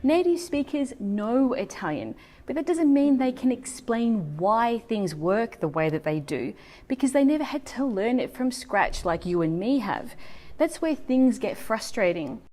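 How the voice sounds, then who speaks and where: A woman's voice: a little high, expressive, measured pace, warm tone, faint music behind. A woman who is a native English speaker, teaching as a non-native language teacher in an emphatic, scripted lesson delivered to viewers.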